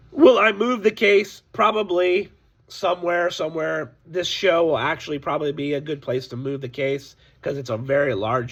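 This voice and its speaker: A hoarse man with a slightly high, gravelly voice speaks casually and candidly to his viewers. His tone is raspy and worn, complaining about a bad deal while warning his audience. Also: a bit bright, highs cut off. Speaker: sports card seller. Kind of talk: casual direct-to-camera vlog.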